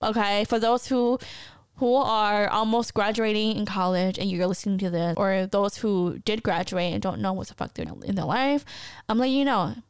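A woman's voice: mid-range voice, moderate pace, clean tone, dry recording, studio quality. A woman in her thirties from the United States, hosting a podcast and talking candidly and chattily to listeners, often laughing. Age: 30s. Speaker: podcast host. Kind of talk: casual personal podcast monologue.

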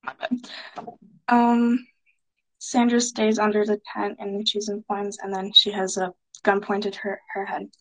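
A woman leads a student book discussion, halting often with filler sounds as she sums up chapters. Her voice sits in the middle of the range. Her pitch stays flat and even. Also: poor audio. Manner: hesitant, many filler sounds.

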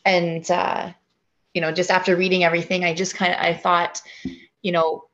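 Woman co-host, low voice, dull lo-fi recording; thoughtful questions. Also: flat delivery, normal speed, a bit bright. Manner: thoughtful, searching for words. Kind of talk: casual interview questions. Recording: dull lo-fi sound.

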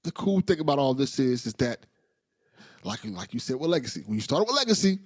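A man's voice: medium pitch, big pitch swings, fairly quick, slightly rough, no room sound, clean studio sound. A man hosting a podcast, talking in a casual, rambling, enthusiastic way with slang. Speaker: podcast host. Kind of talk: casual interview chat.

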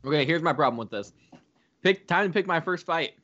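A man's voice: on the high side, expressive, fairly quick, fairly bright tone, lo-fi sound. A man co-hosting a casual podcast chat, arguing his picks point by point. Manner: argues his case in points.

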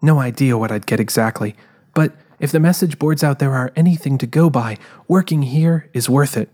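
A man's voice: mid-range voice, moderate pace, lightly acted. A man playing a guarded, driven female private eye, narrating introspectively in a scripted audio drama. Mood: guarded, reflective. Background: quiet music.

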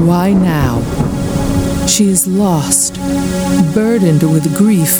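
A woman acts out a calm female medium of a radio play, reassuring and solemn. Her low voice moves slowly and soothingly, with loud music and rain behind her. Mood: solemn, invoking.

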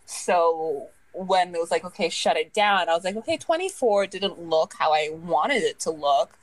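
A woman's voice: lowish voice, expressive, normal speed, dry recording, rough recording. A woman in her twenties chatting casually as a podcast co-host, rambling and filling her sentences with "like". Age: 20s. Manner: rambling, leans heavily on "like". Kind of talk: casual chat.

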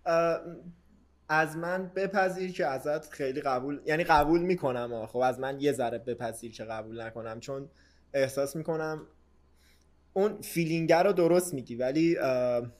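A man, talking as a podcast host in casual, slangy speech sprinkled with English words. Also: on the high side. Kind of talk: casual conversational podcast chat.

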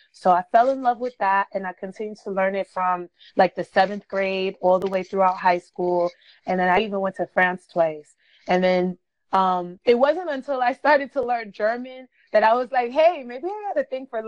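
A woman's voice: mid-range voice, no room sound. A woman from Brooklyn, a chatty guest on a podcast who laughs often and says "like" a lot. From Brooklyn, New York. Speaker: guest, blogger. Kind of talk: casual podcast interview. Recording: dull, dry lo-fi sound.

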